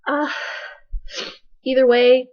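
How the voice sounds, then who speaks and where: A woman's voice: high voice, level tone, slow pace, dry recording. A young woman with allergies, her voice stuffy and sick, introducing herself awkwardly and self-deprecatingly with lots of fillers. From Texas. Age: young adult. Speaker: college student vlogger. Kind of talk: casual self-introduction vlog. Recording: dull, lo-fi webcam audio.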